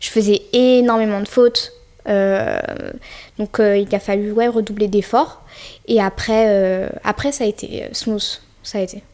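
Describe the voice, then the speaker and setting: A woman's voice: mid-range voice, steady tone, normal speed, darkish tone. A young woman from France, a podcast guest, chatting casually and easily with plenty of fillers and slang. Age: young adult. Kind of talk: casual interview chat.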